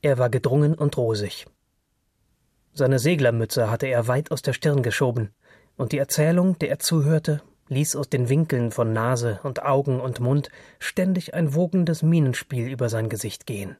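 Husky male literary narrator. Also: medium pitch, a little mellow, no echo, studio quality.

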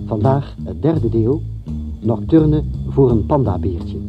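A man's voice: mid-range voice, animated, slow. A man giving a scripted announcement as announcer of a radio drama. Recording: dull recording under guitar music.

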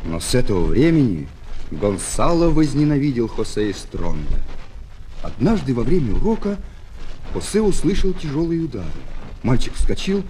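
A man narrating a children's adventure story in an expressive, theatrical way. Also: mid-range voice, normal speed, slight background noise. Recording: old flexi-disc, music behind.

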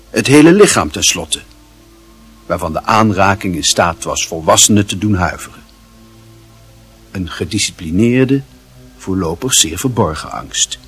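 A man narrating a radio play in a measured, expressive, literary storytelling style. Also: fairly low pitch, breathy edge.

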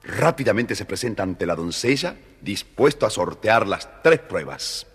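Storybook narrator, Argentine accent, low voice, kindly and theatrical, reverberant recording. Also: male voice, very animated, unhurried, soft background music. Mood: storytelling. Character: talking storybook narrator, kindly, theatrical, chatty with child listener. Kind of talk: scripted children's story narration.

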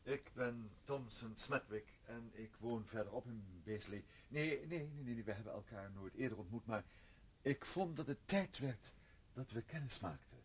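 An actor in a radio play voicing an ingratiating, meddling gentleman neighbour who wheedles and repeats himself with fussy politeness. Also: mid-range voice, normal speed, slightly rough, close to an everyday voice.

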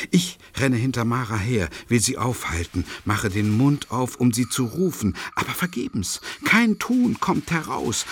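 Animated, anxious male narrator. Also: medium pitch. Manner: expressive, building to agitation. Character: anxious father recounting his feverish nightmares. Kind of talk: dramatic first-person radio drama narration. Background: music.

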